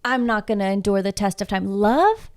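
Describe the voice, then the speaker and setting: A woman's voice: medium pitch, lively intonation, moderate pace, a little mellow. Emphatic woman with rhetorical questions. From Houston, Texas. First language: Spanish. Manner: emphatic and reflective, with many rhetorical questions and tag "right?". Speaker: podcast guest. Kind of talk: casual podcast conversation.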